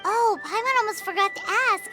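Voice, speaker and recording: Tiny squeaky fairy girl. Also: brisk, over music. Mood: concerned. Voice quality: squeaky, childlike. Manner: speaks of herself in the third person. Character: small floating fairy companion girl, childlike, bubbly, concerned. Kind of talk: video game voice acting.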